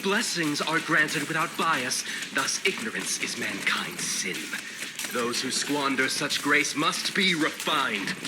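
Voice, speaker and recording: A man's voice: a little high, very animated, takes it slowly, heavy background noise. An actor voicing a grandiose, menacing male cult orator in a video game, preaching with pompous, theatrical flourish. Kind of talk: dramatic video game villain acting.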